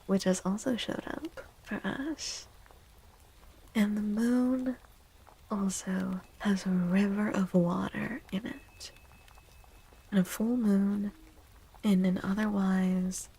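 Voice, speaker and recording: A woman streamer giving a soft-spoken ASMR tarot reading, gentle and unhurried, pausing between phrases and often slipping into a breathy whisper. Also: mid-range voice, low-quality recording.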